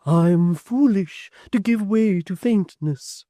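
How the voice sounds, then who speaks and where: A woman reading an audiobook, voicing a timid, tearful spinster whose speech is soft, faltering and pleading. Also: lowish voice, big pitch swings, slow pace, dry recording, clean studio sound, pitched above the performer's own voice. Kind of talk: audiobook character voice.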